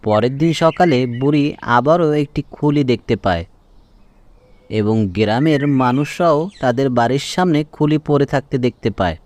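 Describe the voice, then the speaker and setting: A man's voice: medium pitch, unhurried, darkish tone, no room sound. A man reading scripted narration for a children's cartoon story.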